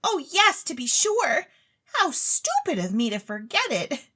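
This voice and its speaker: Woman putting on a high-pitched gushing young society lady. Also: wildly swooping pitch, unhurried, sharp tone, exaggerated voice. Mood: delighted gushing. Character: gushing, vain, wealthy young lady, stage-struck and dim-witted.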